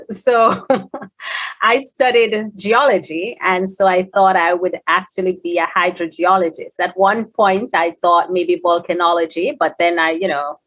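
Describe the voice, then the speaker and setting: A woman's voice: on the low side, a bit bright, poor audio. A woman with a Jamaican accent, answering conversationally as an interview guest. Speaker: guest, technology company founder.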